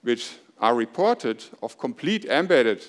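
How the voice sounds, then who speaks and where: A middle-aged man giving a measured academic lecture from a podium microphone on an auditorium stage, loud and with hesitations. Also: mid-range voice, very animated. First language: German. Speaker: plenary lecturer. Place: large dark auditorium stage.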